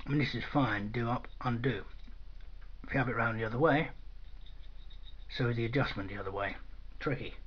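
A man gives an informal hands-on demonstration in a matter-of-fact, dry, understated way, recorded indoors at a workbench on a camera microphone. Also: mid-range voice. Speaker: product demonstrator. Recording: dull lo-fi sound with clear noise.

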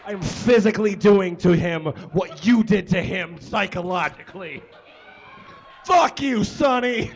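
A middle-aged man with a high-pitched voice hosts a live comedy show from a small bar stage. He talks casually and swears freely into a handheld microphone, exuberant and prone to raising his voice. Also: animated, unhurried, highs cut off.

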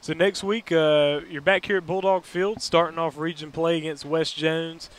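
A young man hosts a postgame sports interview, his voice pitched somewhat high as he chats and runs through recent scores. He speaks into a headset mic outdoors at night. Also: flat delivery, moderate pace. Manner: conversational, recaps stats. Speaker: sports show host. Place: outdoors by a school building at night.

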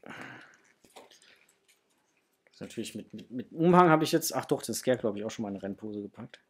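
Husky man, casual and enthusiastic. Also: fairly low pitch, animated, fairly quick. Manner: enthusiastic, exclamatory, muttering to himself while handling things. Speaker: host of a toy unboxing channel. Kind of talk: casual unboxing chat to viewers. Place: small indoor hobby room.

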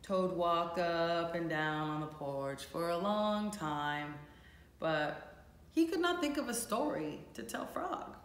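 A woman reads a children's story in an echoey room. She takes her time and lets her pitch rise and fall a lot, talking to young listeners like a teacher. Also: slow, a little hiss or hum. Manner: expressive, addressing young children. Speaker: teacher reading a storybook aloud. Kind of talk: animated children's storybook read-aloud. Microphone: camera or phone a few feet away.

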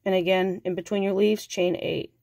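Woman, stuffy and nasal from a cold, patient crochet teacher, mid pitch, dry close sound. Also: moderate pace, no echo. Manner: calm, patient, counts stitches aloud. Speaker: crochet instructor. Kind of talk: step-by-step craft tutorial.

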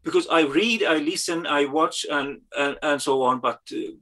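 A man's voice: fairly high pitch, moderate pace, sharp tone. A man from Finland, a guest chatting on a video call, searching for his English words. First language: Finnish. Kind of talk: casual video call chat.

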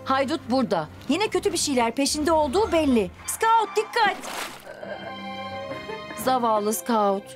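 High-voiced woman narrating a children's cartoon. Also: unhurried, pauses often. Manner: expressive, speaking to characters and young viewers. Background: music.

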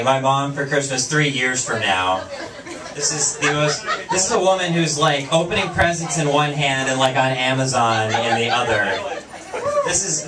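A young man performing a stand-up comedy set on a small bar stage, talking casually and dropping into quick voiced asides. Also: a little high. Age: young adult. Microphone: handheld mic, far camera. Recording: echoey bar from far off, crowd laughter.

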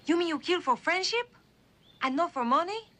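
A woman's voice: very high-pitched, animated, normal speed, a bit bright. An actress playing a fiery, bitter cantina girl who speaks in broken English, in an old screen drama. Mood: scornful, challenging. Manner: broken, non-native English phrasing. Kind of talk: dramatic mid-century screen acting.

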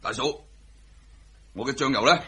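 An actor playing a demanding, grumpy older father-in-law in a radio drama, with a husky, impatient, scolding delivery. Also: medium pitch, normal speed, bright, forceful tone, slight background noise, natural voice. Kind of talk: Cantonese radio drama acting.

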